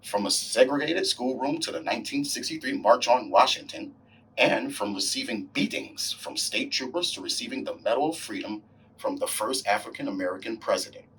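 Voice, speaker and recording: A man reading a story aloud in an expressive, deliberate way, acting out the dialogue as he goes. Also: medium pitch, far from the mic, a little hiss or hum, poor audio. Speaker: volunteer story reader, hospital staff member. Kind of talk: reading a graphic novel aloud.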